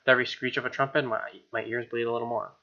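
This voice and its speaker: A man's voice: mid-range voice, flat delivery, very fast, creaky, lo-fi sound. A man with an American accent reading a visual novel aloud as a gaming host, with casual asides. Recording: game music behind.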